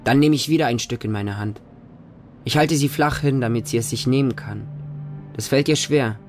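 Street kid narrator played by a man, mid-pitched, intimate and plain-spoken, short sentences, radio drama monologue with prominent music bed. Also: moderate pace, close to an everyday voice. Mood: earnest, plain. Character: homeless Moscow street child who befriends stray dogs.

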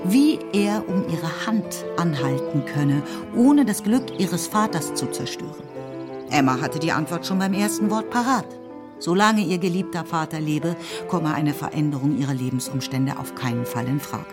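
A woman narrating a literary radio play, telling the story in a measured, articulate way. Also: deep voice, expressive. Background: music.

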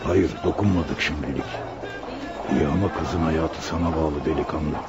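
A man's voice: extremely low pitch, moderate pace, over loud music. An actor playing a bullying, hot-tempered male gang boss in a radio play, taunting and giving orders. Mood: quiet menace. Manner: taunting, commanding. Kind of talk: Turkish radio theater acting. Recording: dull lo-fi radio recording.

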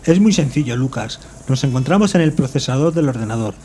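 A man's voice: mid-range voice, lively intonation, brisk, darkish tone, a little hiss or hum, close to an everyday voice. A man playing a bewildered but logical tech worker in an audio drama, explaining things as he reasons aloud. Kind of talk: amateur audio drama acting.

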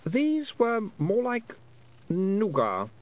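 Guy doing a jittery boy voice, high and bouncy, rambling on. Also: wildly swooping pitch, drawn-out words, heavily acted. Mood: anxious. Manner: rambling, hesitant, breathless. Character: nervous boy of twelve, anxious and chatty.

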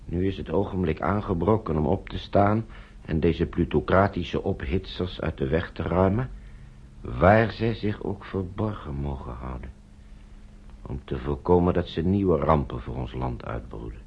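An actor playing a stern, inciting propaganda voice in a radio drama, declaiming pompously. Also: male voice, low voice, a little slow, slightly rough, heavily acted. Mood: stern agitation. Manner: pompous, oratorical. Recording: noisy, dull old radio recording.

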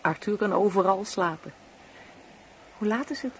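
A woman's voice: mid-range voice, normal speed, close to an everyday voice. Woman acting middle-aged wife, affectionate, earnest, pleading, radio play, faint background noise. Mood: wistful, affectionate. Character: affectionate, pleading married woman, anxious to please.

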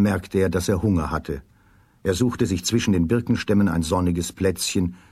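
A male storyteller narrates a children's radio drama. His voice is on the low side and noticeably husky, delivering a scripted fairy tale. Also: a little mellow.

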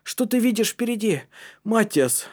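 A man's voice: sits high, very animated, measured pace, a little mellow, voice pushed up, exaggerated voice. A man voicing a strong, bold older boy who leads with urgent commands in a story. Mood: questioning.

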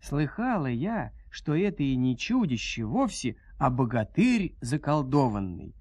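A man's voice: mid-range voice, very animated, unhurried, a little mellow, husky, no room sound, full character voice. Man voicing a kindly old sorceress granny. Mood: confiding. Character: kindly old peasant woman, secret good sorceress.

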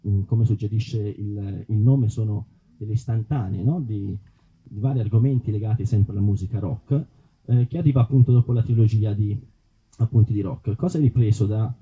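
A man moderating a live book presentation, introducing the author with hesitant pauses. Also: on the low side, slightly rough, narrow, tinny audio. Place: outdoor festival event, live PA.

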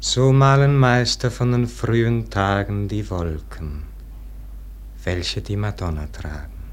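A man's voice: fairly low pitch, slight background noise. An actor playing a melancholy, yearning nobleman in a classic radio verse drama, declaiming lyrically in standard German stage diction. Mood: hushed reverie. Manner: solemn, lyrical, drawn-out declamation.

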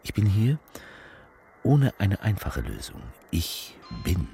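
A man playing a political speechmaker in a theatrical radio piece, addressing the people with halting, emphatic, repetitive rhetoric. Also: low voice, wildly swooping pitch, creaky, warm tone, faint music behind, heavily acted. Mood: brooding, halting.

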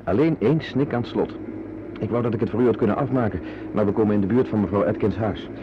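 A muffled, noisy radio play recording of an actor as a polite gentleman driver. His voice is on the low side and he speaks in a wry, articulate way, with vehicle and animal sounds behind him. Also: fast talker, close to an everyday voice. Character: courteous man driving his cleaning lady and pets. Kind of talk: radio drama, wry narration and dialogue.

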